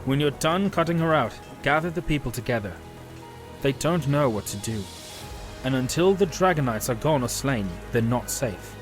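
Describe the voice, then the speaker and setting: A male actor plays a heroic warrior leader in a fantasy audio drama. He speaks in a medium-pitched voice, earnest, protective and resolute, with music beneath. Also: expressive, moderate pace, natural voice.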